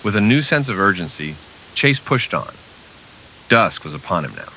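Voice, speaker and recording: A man's voice: lowish voice, very animated, moderate pace, sharp tone, a little hiss or hum. A man narrating a scripted old-time western radio drama with dramatic storytelling delivery.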